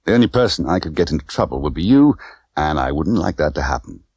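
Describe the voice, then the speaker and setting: A man's voice: very deep voice, sing-song, fast talker, a little mellow, breathy edge, no echo, studio quality, exaggerated voice. A man voicing a cool, easygoing British secret agent, unruffled, laconic and lightly teasing. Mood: smooth, teasing.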